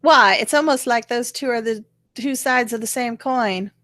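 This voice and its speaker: A woman's voice: a little high, moderate pace, a bit bright, no echo. A woman giving casual political commentary, explaining her opinions in a wry, conversational way. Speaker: co-host of political rant show.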